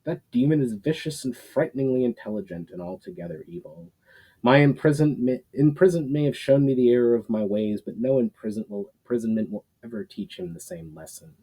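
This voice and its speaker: A man's voice: medium pitch, a little fast, warm tone, husky, close to an everyday voice, rough recording. A man voicing a weary, repentant fallen warlord in a fantasy story, with formal, earnest, world-weary phrasing. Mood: worried.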